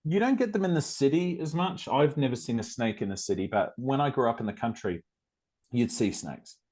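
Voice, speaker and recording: A man's voice: mid-range voice, animated, brisk, a little mellow. A man from South Australia with an Australian accent, hosting a casual interview and chatting in a rambling way with many fillers. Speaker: podcast host.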